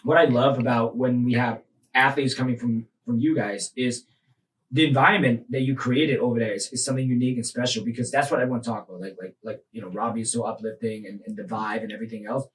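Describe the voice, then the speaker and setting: A man's voice: medium pitch, fast, poor audio. A man from Thailand, raised in New York, hosts a podcast interview. His voice sits in a middle range, and he talks in a loose, conversational way, nodding along with short affirmations and tossing in jokes. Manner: relaxed conversational, frequent affirming murmurs, jokes and laughs. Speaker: podcast host, physical therapist.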